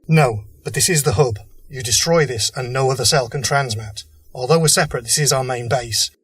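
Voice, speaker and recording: Serious rebel leader guy, man acting, mid pitch, earnest and principled, audio drama. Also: fairly quick, a bit bright, slightly rough. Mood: grave, earnest. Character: grave, principled resistance cell leader, desperate but humane.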